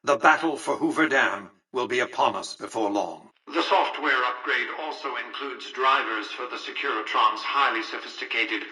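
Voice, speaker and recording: An imperious elderly tycoon from a video game. His voice is crisp-edged and bright with some huskiness, pompous and exact in its phrasing. He sounds calculating and superior, recorded a little way from the microphone. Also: mid-range voice, normal speed, hardly any pauses, far from the mic, low-quality recording. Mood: cool, commanding. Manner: formal, pompous, precise, patronizing. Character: imperious, calculating elderly male tycoon, coolly visionary mastermind. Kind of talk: scripted video game character dialogue.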